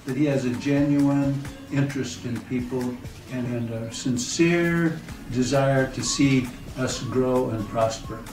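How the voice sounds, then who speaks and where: Older man, sincere measured testimonial. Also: mid-range voice, a little mellow, roomy sound, far from the mic, soft background music, poor audio. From California. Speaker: student, retired dentist. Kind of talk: testimonial interview.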